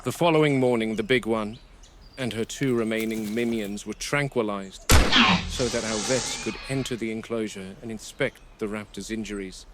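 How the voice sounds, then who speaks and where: A man playing a grim, wary game warden who narrates a found-footage horror field log in a measured, grave, deliberate manner. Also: lowish voice, lightly acted. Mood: grim, clinical. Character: grim, wary male game warden logging predator behavior. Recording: noisy VHS-style found-footage recording.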